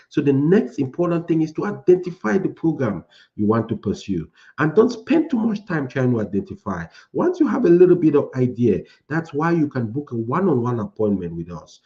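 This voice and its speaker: A man's voice: medium pitch, mellow, lo-fi sound. A man from Cameroon coaching people on starting a tech career, giving a preachy motivational talk that repeats phrases for emphasis.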